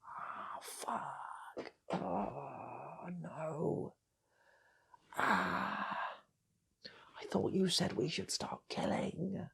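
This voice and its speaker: A man's voice: on the high side, very slow, creaky, pauses often, a bit bright, husky, in a whisper, pitched above the performer's own voice, heavily acted. A man voicing bungling robbers in a comic story, full of exaggerated, whiny disbelief. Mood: dismayed. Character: dismayed robber confronting a mistaken, violent accomplice.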